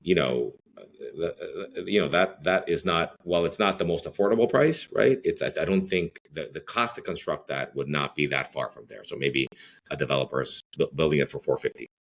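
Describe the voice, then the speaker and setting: A man's voice: a bass voice, brisk, creaky. A middle-aged man from Greece, a company head as podcast guest, talking in a rambling way with many fillers and restarts. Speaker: real estate CEO guest. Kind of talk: podcast interview.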